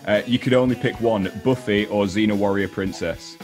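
Young man, low pitch, casual interviewer, video call, faint music behind. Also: fairly even intonation, moderate pace, a little mellow. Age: young adult. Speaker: interviewer, host. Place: indoor home room, video call.

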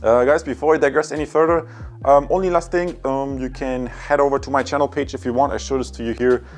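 A man in his thirties with a German accent, giving a casual, halting product review and unboxing to viewers. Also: medium pitch, steady tone, faint music behind. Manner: hesitant, halting, addresses viewers as guys.

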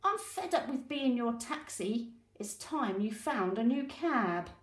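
A woman's voice: on the high side, expressive, slow pace. Small possessive storybook crab, bossy and grumbling, acted by a woman reader, echoey room. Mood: fed up. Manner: bossy, complaining. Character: grumpy, possessive little crab.

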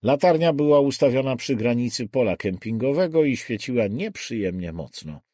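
A man's voice: mid-range voice, lively intonation, normal speed, dark tone, no echo, studio quality. A man narrating a thriller audiobook in a dramatic, scripted reading style.

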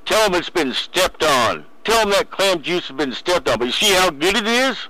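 Male CB operator, sharp bright voice, teasing repetitive banter through a radio. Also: sits high, sing-song, normal speed, no echo, low-quality recording. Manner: teasing, repeats phrases. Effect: CB radio transmission. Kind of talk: casual radio banter in CB slang.

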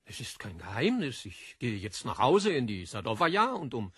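An actor playing an educated, self-assured and skeptical literary official in an audio drama, politely incredulous. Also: male voice, medium pitch, wildly swooping pitch, normal speed, fairly bright tone, slightly rough, no echo, studio quality, heavily acted. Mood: puzzled. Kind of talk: German audio drama acting.

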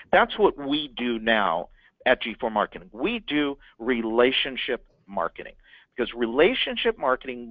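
A man teaching business owners about marketing, leaning hard on key words and repeating them for emphasis. His voice sits at an ordinary middle pitch. The sound is thin and phone-like, with a dry, close feel and no room echo. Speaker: marketing consultant. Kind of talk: business training talk.

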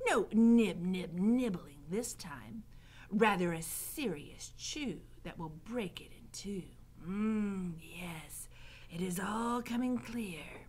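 A woman voicing a suave, sly, vengeful male rat villain in a breathy whisper, reading a story. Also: on the high side, huge pitch swings, measured pace, hardly any pauses, slight background noise, voice pushed up. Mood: menacing, gloating.

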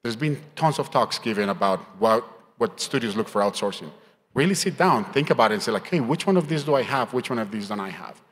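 A man's voice: mid-range voice, animated, a little fast, fairly bright tone. Emphatic Colombian man in a reverberant hall. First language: Spanish. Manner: emphatic, motivational. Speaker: panelist, game industry professional. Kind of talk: advice in a panel discussion. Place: conference stage. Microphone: handheld microphone. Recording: hall reverb from stage sound.

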